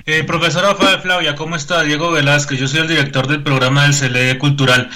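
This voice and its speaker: A man's voice: on the high side, steady tone, fairly quick, sharp tone. A man, politely moderating a panel on a video call. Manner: polite, formal address. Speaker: program director and moderator.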